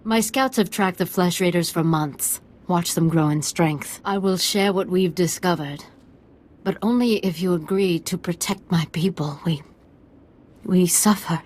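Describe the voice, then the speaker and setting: An actress playing a proud, bitter and ailing village matriarch in a video game, stately and weary. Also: female voice, fairly low pitch, measured pace, a little mellow. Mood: weary, strained. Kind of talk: video game dialogue acting.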